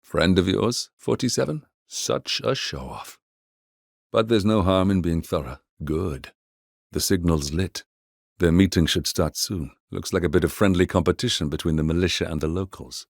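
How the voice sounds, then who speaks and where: Brooding game mercenary handler, very low voice, measured, dry wit, close dry studio sound. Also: male voice, vocal fry, no room sound. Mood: dry, wry. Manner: measured, understated, dryly wry. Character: composed, dry, brooding male mercenary handler, middle-aged. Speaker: mission handler. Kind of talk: scripted video game voice acting, mission briefing.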